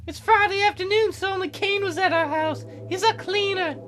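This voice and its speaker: A man voicing a grieving, tearful woman in a plaintive, halting falsetto for a visual novel game. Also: steady tone, a bit bright, faint music behind. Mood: tearful, anxious. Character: grieving, tearful wife answering a detective.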